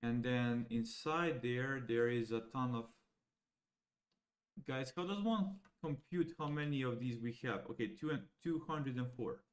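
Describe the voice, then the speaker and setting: Young Serbian-born man, native Serbian speaker, walking viewers through code while thinking aloud in halting steps, mid-range voice. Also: mid-range voice. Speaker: AI educator. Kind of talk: live coding walkthrough.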